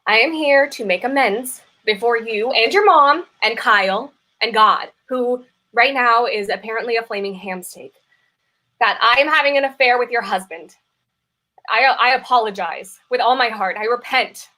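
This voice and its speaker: A woman's voice: fairly high pitch, normal speed, pushed and bright. A woman playing a guilt-ridden, dramatic mistress in a comedic play reading, earnest and emphatic as she confesses. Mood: dramatic confession. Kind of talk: live play reading, comedic stage acting.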